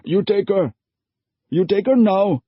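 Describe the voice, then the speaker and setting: A man voicing a frantic father who orders and pleads in a forceful, raised voice. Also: sits high, lively intonation, measured pace, breathy edge, no echo, voice pushed up, heavily acted. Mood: commanding. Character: desperate father of a teenage girl, stern. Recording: dull lo-fi audio.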